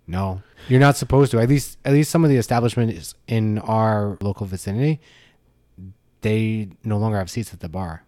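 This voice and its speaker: Male podcast co-host, lower voice, matter-of-fact and reflective, dry close mic. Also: normal speed, dark tone, no echo. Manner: matter-of-fact, thinks aloud. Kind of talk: casual chat.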